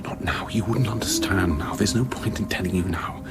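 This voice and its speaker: Cagey, low-voiced, world-weary scientist. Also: male voice, gravelly, loud music behind the voice. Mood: hushed, secretive. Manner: cagey, sardonic, halting, theatrically wordy. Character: evasive, cynical, world-weary male scientist on a remote station. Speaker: actor in a radio play. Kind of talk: radio drama acting.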